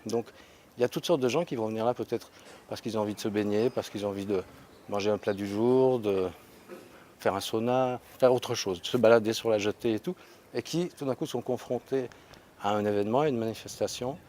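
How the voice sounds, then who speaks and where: Earnest low-voiced man, halting speech. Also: darkish tone, a little hiss or hum. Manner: earnest, repeats small words while building a thought. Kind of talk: interview.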